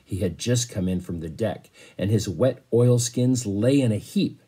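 A man in his fifties reading a story aloud, pausing for casual explanations. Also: on the low side, mellow, breathy edge. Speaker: teacher reading to a class. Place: small bedroom, indoors.

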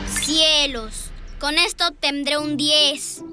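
A woman's voice: sits high, steady tone, slow pace. A woman voicing an earnest, chatty young schoolboy in a children's cartoon dub. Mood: earnest, keen. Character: eager, curious young schoolboy. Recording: music behind the voice.

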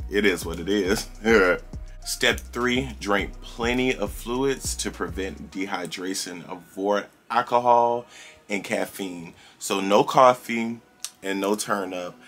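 A man's voice: sits low, a bit bright. A young man vlogging casually to camera in a small room, chatty and sassy, his voice strained as if he is losing it. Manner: chatty, sassy, addresses viewers as girl and y'all. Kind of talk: casual vlog chat to camera.

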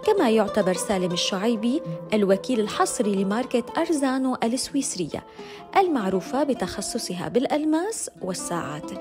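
A woman's voice: on the high side, very animated, fast talker. A woman presenter with a Levantine Arabic accent, delivering scripted promotional narration. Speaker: presenter and interviewer. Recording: music behind the voice. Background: background music.

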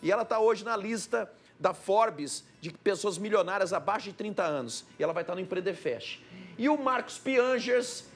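A man's voice: sits high, animated, moderate pace, soft background music. A man in his forties hosting a live TV studio interview, enthusiastically hyping the invited speakers. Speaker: TV host. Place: TV news studio.

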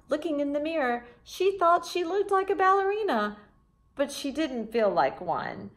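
A woman in her thirties reading a children's storybook expressively, with teacherly asides, recorded indoors at home. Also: medium pitch. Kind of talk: expressive picture-book read-aloud. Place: front room of a house, indoors.

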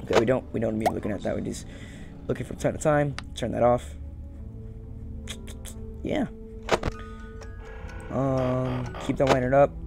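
Young man muttering gameplay commentary, gravelly edge. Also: mid-range voice, fairly even intonation, a little slow, long pauses. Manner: muttering to himself, repeating phrases. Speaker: gaming video host. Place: indoor room, beside a plain wall. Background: game music.

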